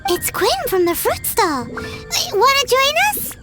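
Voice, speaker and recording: A tiny, childlike fairy sidekick in an anime game. The voice is squeaky and extremely high, unhurried and swinging widely in pitch, cheerful and stubbornly persistent. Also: female voice, very animated, over loud music, heavily acted. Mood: eager. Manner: exaggerated, refers to herself in third person. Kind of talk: anime game dialogue acting.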